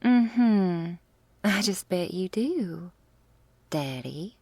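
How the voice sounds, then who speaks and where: A woman's voice: fairly low pitch, lively intonation, drawn-out words, a little mellow, no room sound, exaggerated voice. A woman voicing a playful, irreverent rebel vampire lover in an ASMR roleplay, with a blunt, informal, teasing manner.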